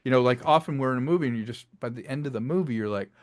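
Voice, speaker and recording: A man with a mid-pitched voice talks through his craft in a casual interview. He explains like a storyteller, often slipping into little acted-out quotes to make his point. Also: racing. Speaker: interviewed filmmaker and audio drama creator.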